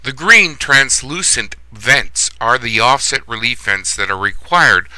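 A man giving a scripted plumbing lesson as a voice-over instructor, reading in a measured, steady way. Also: mid-range voice, no room sound, low-quality recording.

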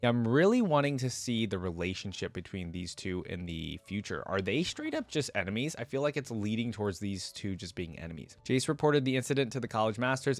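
Low-voiced young man, casual reactor. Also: dry recording. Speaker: reaction video host. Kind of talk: reading lore aloud with casual reaction commentary. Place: indoor desk setup at home. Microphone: close desk microphone.

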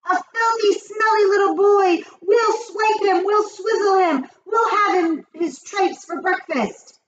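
A woman voicing bloodthirsty, fawning witches in a storybook, shrieking and exclaiming excitedly. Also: very high-pitched, slow pace, dull audio, voice pushed up. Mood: bloodthirsty glee. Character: shrill crowd of bloodthirsty, fawning female witches. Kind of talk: storybook character voice.

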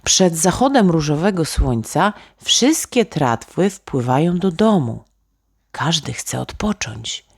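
A woman storyteller narrating a bedtime tale for children in a gentle, expressive way. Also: sits low, takes it slowly, breathy edge, no room sound, studio quality. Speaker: storyteller, author reading own tale.

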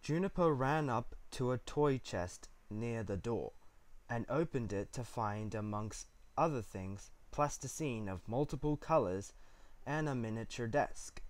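A man's voice: mid-range voice, animated, unhurried, no echo. A man narrating a written story from a script.

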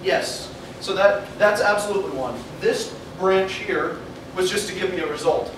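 Young guy at a whiteboard, lively, laughs a lot, far mic, air con hum. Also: high-pitched, moderate pace. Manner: animated, laughs often, thinks aloud. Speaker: meetup speaker. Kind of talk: talk at a whiteboard with audience questions. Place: meeting room with an audience. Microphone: far camera mic. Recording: echoey room from far off, noisy.

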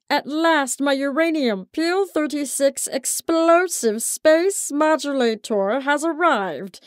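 Woman as tiny male alien villain for cartoons: nasal, pompous, unhurried, close dry sound. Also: high voice, dry recording. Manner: pompous, precise, gleefully menacing.

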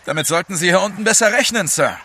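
A man's voice: high voice, expressive, fast, bright, forceful tone, exaggerated voice. An actor playing a cheeky, streetwise young man in an audio drama.

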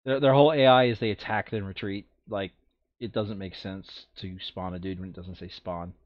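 A man's voice: low-pitched, fairly even intonation, normal speed, muffled recording. A man playing a board game over voice chat, thinking aloud casually and muttering counts and rules to himself.

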